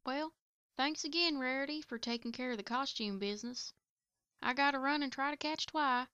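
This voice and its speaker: A woman voices a young farm pony with a drawl in a cartoon-style audio play. The voice is high and smooth, folksy and no-nonsense. The recording is dry and slightly dull. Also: moderate pace, bright, forceful tone, no echo. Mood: friendly, plain. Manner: folksy, down-to-earth. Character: plain-spoken young female country farm pony. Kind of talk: amateur audio drama acting.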